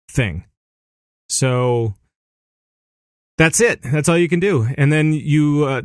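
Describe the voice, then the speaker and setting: Excitable man hosting a gaming podcast. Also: medium pitch, no room sound, studio quality. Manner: animated, excitable, laughs often. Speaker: podcast host. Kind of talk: casual gaming chat.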